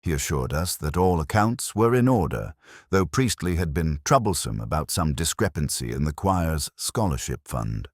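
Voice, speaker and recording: A world-weary middle-aged detective, voiced by a man, telling his case in the first person. His voice sits extremely low yet moves through a lively, rising and falling melody. He speaks in a measured, wry way, recorded close and dry with no room sound. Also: dry recording, full character voice. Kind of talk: first-person scripted audio drama narration.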